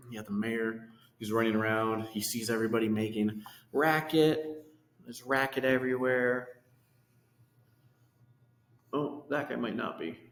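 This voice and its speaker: A man reading a children's storybook aloud, with casual asides about the pictures. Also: medium pitch. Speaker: reader of a children's book. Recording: echoey room with long reverb.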